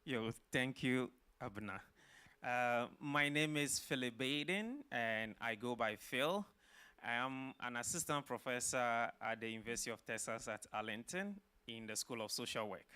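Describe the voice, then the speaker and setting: A middle-aged man from Ghana, a professor answering interview questions in a measured, explanatory way with some filler uhs. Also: medium pitch, pushed and bright, clean tone. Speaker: guest, social work professor.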